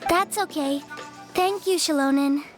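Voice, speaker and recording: Gentle, very high-pitched little girl. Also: a little slow, faint music behind. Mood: sweet, polite. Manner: gentle, hesitant, earnest. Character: frail, polite, curious little girl. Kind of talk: anime video game voice acting.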